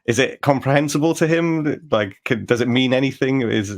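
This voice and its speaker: A man's voice: mid-range voice, normal speed. A man playing a tabletop roleplaying game, casually narrating what his character does as he makes it up.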